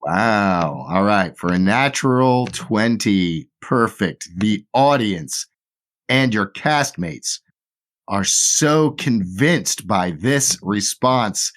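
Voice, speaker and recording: A man running a tabletop game as game master, relaxed and full of fillers. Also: fairly low pitch, extremely slow.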